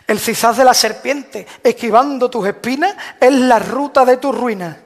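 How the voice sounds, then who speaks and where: A man's voice: very high-pitched, moderate pace. A man solemnly reciting a rhymed devotional proclamation as a Holy Week proclaimer, in a declamatory manner. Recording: long hall reverb.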